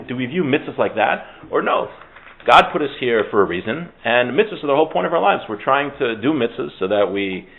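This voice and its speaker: A man teaching an informal Torah class in a conversational, joking, self-interrupting way, sprinkling Hebrew terms into his talk. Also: fairly low pitch. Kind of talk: informal religious lesson with discussion. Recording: thin phone-like audio.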